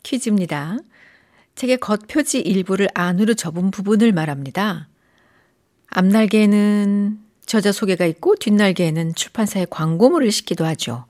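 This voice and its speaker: A middle-aged woman hosting a radio show in a studio, chatting gently with listeners and often softening into a breathy near-whisper. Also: medium pitch. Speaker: radio host. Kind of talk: warm radio chat reading listener messages. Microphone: studio microphone.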